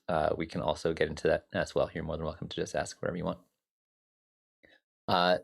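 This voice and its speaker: Low gravelly man hosting a livestream. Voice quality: slightly scratchy voice. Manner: relaxed, rambling, many "uh" fillers; talks to viewers by name and answers chat. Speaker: music production trainer hosting a livestream. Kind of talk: casual live-stream chat.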